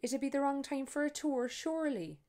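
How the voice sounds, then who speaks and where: A woman's voice: high voice, expressive, normal speed, mellow, pitched above the performer's own voice. A woman reading aloud voices a sixty-eight-year-old Cork woman with a dry, teasing, emphatic manner and a Cork accent. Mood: wry teasing. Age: 60s. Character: sharp-tongued old Cork woman, 68, wry.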